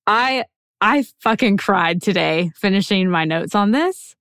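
A woman's voice: mid-range voice, lively intonation, a little slow, a bit bright, clear, resonant tone, no echo, clean studio sound. A woman in her twenties co-hosting a podcast, chatting casually with slang and a self-deprecating manner. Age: 20s. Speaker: podcast co-host. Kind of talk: casual chat.

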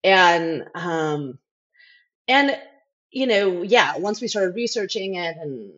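Middle-aged woman, low-pitched, dull lo-fi audio. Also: lively intonation, slow. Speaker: interview guest, former overseas aid worker. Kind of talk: candid conversational interview.

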